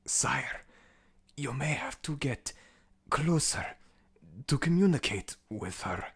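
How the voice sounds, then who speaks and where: Man putting on a high-pitched nervous underworld servant. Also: big pitch swings, slightly rough, exaggerated voice. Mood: timid. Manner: halting, servile, reporting anxiously. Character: nervous, deferential underworld servant to the jackal god. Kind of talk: ASMR audio roleplay acting.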